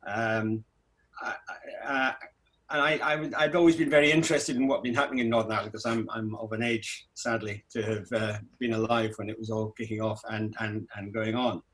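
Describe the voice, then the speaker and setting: A middle-aged man who grew up in Scotland, a documentary director answering festival questions, talking in a halting way with many restarts. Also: lowish voice, steady tone, fairly bright tone. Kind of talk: festival Q&A interview.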